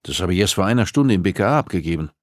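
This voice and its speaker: A man voicing a gruff male police investigator with a curt, deadpan, growling manner. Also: low voice, racing, no room sound, studio quality. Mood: curt, sardonic.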